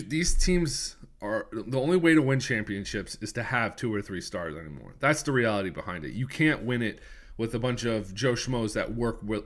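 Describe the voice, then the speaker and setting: A man's voice: medium pitch, a bit bright. A young man with a stuffy cold voice, hosting a casual sports podcast, rambling and opinionated with lots of restarts. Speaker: podcast host.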